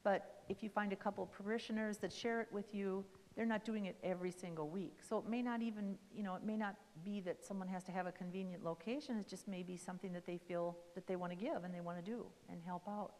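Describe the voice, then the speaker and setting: A woman's voice: mid-range voice, steady tone, fast talker, hardly any pauses, a little mellow. A woman social worker talking casually at a church caregiver seminar, friendly and rambling with many fillers. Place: church meeting hall. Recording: echoey hall.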